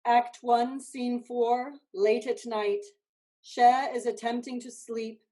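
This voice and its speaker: A woman narrator with a fairly high but mellow voice. She reads stage directions at a slow pace, as scripted narration. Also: level tone, low-quality recording.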